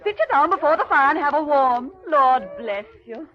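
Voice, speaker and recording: A woman's voice: sits high, expressive, normal speed. An actress playing a loving, fretful mother with a heartfelt, fussing manner in a 1930s radio drama. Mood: fussing, affectionate. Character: loving, fretful working-class mother, bustling and tender. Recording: dull 1930s radio recording, crowd behind.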